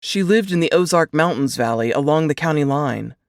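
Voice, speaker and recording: A woman's voice: low voice, lively intonation, a little fast, dry recording, clean studio sound. A woman voicing a brawny young soldier, an insistent and earnest man protective of a baby, in an audiobook. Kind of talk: audiobook character dialogue.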